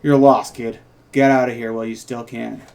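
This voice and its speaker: A man's voice: medium pitch, level tone, normal speed, vocal fry, a little mellow, pitched below the performer's own voice, natural voice. Man reading as a curt, menacing biker bruiser. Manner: curt, threatening. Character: menacing hundred-handed male biker bruiser. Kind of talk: informal script table read.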